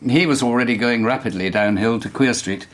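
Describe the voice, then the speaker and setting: An actor playing a brisk, commanding Victorian police inspector in a radio drama, dry in manner. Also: male voice, medium pitch, a bit bright, natural voice. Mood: measured.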